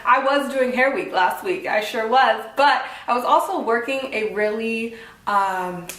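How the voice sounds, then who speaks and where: A woman's voice: a little high, a little slow, a bit bright. A woman with a stuffy, congested cold, chatting casually and upbeat as she reviews a wig for her vlog, with lots of "like" and "um". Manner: upbeat and apologetic, filler-heavy with lots of "like" and "um". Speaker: beauty vlogger reviewing a wig. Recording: roomy echo, voice from a distance.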